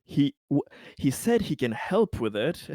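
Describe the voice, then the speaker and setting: A man's voice: fairly high pitch, big pitch swings, a little slow, darkish tone, dry recording, studio quality. A man improvising as a player in a tabletop roleplaying game, speaking hesitantly with stammered restarts. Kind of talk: improvised in-character tabletop play.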